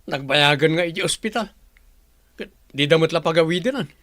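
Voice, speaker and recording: A man's voice: a little high, brisk, a bit bright, dry recording, clean studio sound, close to an everyday voice. A male actor playing a sullen, suspicious grandson in an Ilocano radio drama, irritable and demanding. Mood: resentful.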